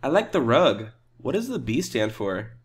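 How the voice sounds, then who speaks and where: A man playing a blunt, skeptical, excitable pizza customer in comic roleplay, with an incredulous and theatrical delivery. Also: mid-range voice, moderate pace, slightly rough.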